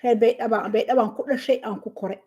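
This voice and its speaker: A middle-aged woman from southern Somalia with a Somali accent, hosting a casual livestream, unhurried and halting with many fillers as she thanks viewers by name. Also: on the high side, steady tone, mellow, husky, dull audio. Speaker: livestream host.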